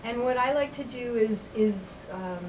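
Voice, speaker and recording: A middle-aged woman answering interview questions thoughtfully, with many ums and slow, halting phrasing. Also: on the high side, darkish tone. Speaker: interviewee, company representative. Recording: roomy, distant mic, noisy ambience.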